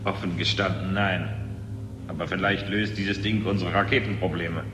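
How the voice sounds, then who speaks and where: An actor playing a blunt, practical older army general in a German radio drama from 1970. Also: male voice, low-pitched, steady tone, normal speed, background noise, lightly acted. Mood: blunt, wary. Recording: echoey hall, old radio recording.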